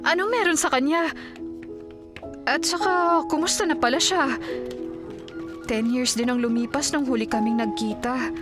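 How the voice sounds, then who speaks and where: A woman's voice: sits high, moderate pace, pauses often, over music, natural voice. An actress playing a friendly, curious career woman in a Filipino radio drama, conversational, with a Filipino accent.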